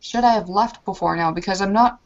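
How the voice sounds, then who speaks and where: A woman's voice: mid-range voice, fairly quick. A woman in a tabletop roleplay, talking in character in an anxious, rambling way with many restarts. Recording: lo-fi muffled recording.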